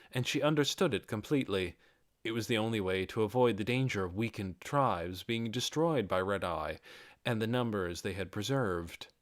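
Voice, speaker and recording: A man reading a fantasy audiobook as narrator, in a measured and expressive dramatic storytelling style. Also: fairly low pitch, no echo, studio quality.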